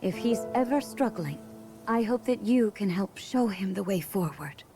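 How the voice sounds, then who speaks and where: A woman playing a gentle, wise queen in a video game cutscene, composed and encouraging. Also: mid-range voice, expressive, normal speed, soft background music, lightly acted. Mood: gently encouraging.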